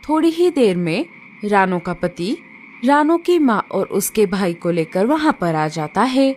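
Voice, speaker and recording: A woman's voice: medium pitch, sing-song, measured pace, darkish tone. A woman narrating a scripted children's story, recorded with noise behind.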